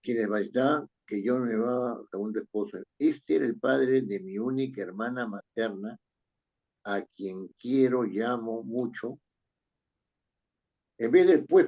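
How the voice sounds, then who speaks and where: A man with a husky, slightly breathy voice at a middle pitch leads a writing lesson. He reads sentences aloud slowly, then explains the fixes, often ending on a questioning "right?". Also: darkish tone. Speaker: writing teacher. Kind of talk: correcting a student's paragraph in class.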